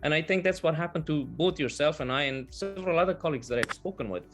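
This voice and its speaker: A man whose first language is Persian, giving measured, earnest interview answers with filler pauses over a video call. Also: fairly high pitch, animated, soft background music. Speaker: guest, translation business owner.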